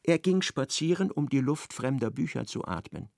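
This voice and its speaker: A male narrator tells a radio play in a measured, ironic way. His voice has a gravelly grain and sits mid-range. The studio sound is dry. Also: medium pitch, lively intonation, a little mellow, no room sound.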